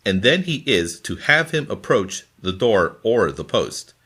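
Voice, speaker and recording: A man reading scripture from a formal translation in a deliberate, phrase-by-phrase manner. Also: fairly low pitch, sing-song, fairly bright tone. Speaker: scripture reader.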